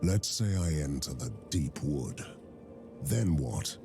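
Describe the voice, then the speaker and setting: A grim dark knight from a fantasy game, defiant and sardonic. His deep, gravelly voice moves through a wide range as he delivers blunt challenges. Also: lively intonation, slow pace, exaggerated voice. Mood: wry, guarded. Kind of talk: dramatic fantasy game voice acting. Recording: dramatic music behind the voice. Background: music.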